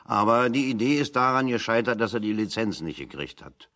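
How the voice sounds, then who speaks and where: A man's voice: on the low side, fairly quick. A man acting in a German radio play as a plain-spoken, dryly ironic exile in conversation. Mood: deadpan. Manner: deadpan, ironic.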